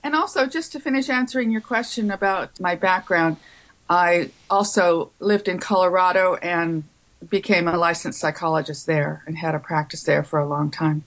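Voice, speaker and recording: An older woman, raised in Taiwan, answering interview questions as a psychologist in a calm, measured way. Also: on the low side, rough recording. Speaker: psychologist guest.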